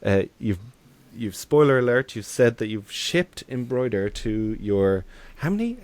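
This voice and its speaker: A man's voice: medium pitch, big pitch swings, unhurried, a little mellow, dry recording. A man interviewing colleagues in a casual, informal, chatty way. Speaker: interviewer, software engineer.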